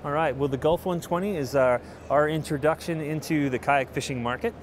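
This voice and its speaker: A man's voice: a little high, a little fast. A man in his forties giving a casual, informal product walkthrough as a company representative, sometimes hesitating mid-phrase. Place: large indoor convention hall booth. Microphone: handheld interview microphone held by interviewer. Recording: noisy busy trade show floor.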